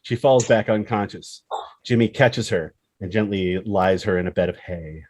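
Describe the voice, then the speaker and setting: A man with a husky voice reading dramatic screenplay stage directions as narrator. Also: fairly low pitch, steady tone, moderate pace, a little mellow.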